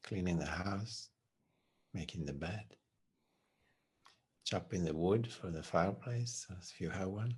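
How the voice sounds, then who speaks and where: A man with a deep, slightly breathy voice shares reflections on meditation. He talks slowly and gently, and his sentences often drift down to a near murmur at the end. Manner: soft-spoken, unhurried, trailing off at phrase ends. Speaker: meditation teacher. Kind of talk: reflective spiritual talk.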